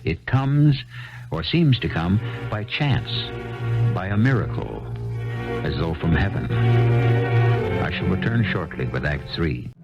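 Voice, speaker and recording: Male radio host, stately narration, deep gravelly voice, muffled archive sound. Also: sing-song, over loud music. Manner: measured and stately. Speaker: host of a radio mystery series. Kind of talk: formal scripted narration. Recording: muffled old radio broadcast.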